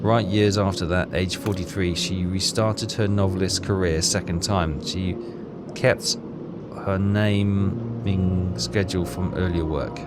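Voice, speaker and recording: A man thinking aloud as he plays a puzzle game, talking in a halting, self-questioning way. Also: sits low, fairly even intonation, heavy background noise. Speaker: let's player. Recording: loud game music behind voice. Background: game music.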